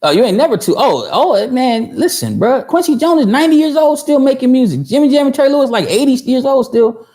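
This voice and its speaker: A man's voice: extremely high pitch, very animated, fairly quick, mellow. Rambling, profane man in his thirties. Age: 30s. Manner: rambling, profane, full of fillers and restarts; answers viewer chat mid-story. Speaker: host of a livestream for music producers. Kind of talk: casual livestream storytelling.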